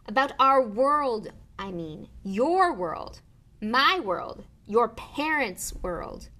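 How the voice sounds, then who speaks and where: A woman voicing a huge, indignant but big-hearted storybook man with a booming growl and blunt rustic speech. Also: animated, normal speed, pushed and bright. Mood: indignant, thundering. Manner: rustic dialect, blunt, emphatic.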